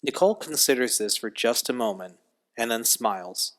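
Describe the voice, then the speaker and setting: A man's voice: medium pitch, expressive, moderate pace, fairly bright tone. A man giving scripted narration for an audio drama. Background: music bed.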